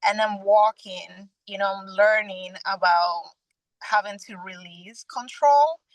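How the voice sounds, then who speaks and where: A young adult woman from Venezuela, a guest sharing her personal faith testimony in an earnest, halting way with frequent restarts. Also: mid-range voice, dry recording, low-quality recording.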